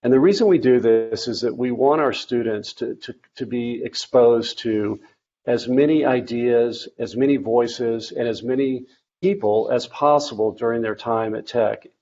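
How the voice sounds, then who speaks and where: A man from the architecture faculty giving a virtual open house presentation, talking haltingly with many fillers and restarts. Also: mid-range voice, dark tone, lo-fi sound. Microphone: video call.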